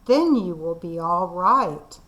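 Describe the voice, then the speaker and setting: A woman's voice: fairly low pitch, expressive, slow, fairly bright tone, pitched below the performer's own voice. A woman voicing a frantic young boy who overfed his fish, pleading and urgent. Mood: coaxing.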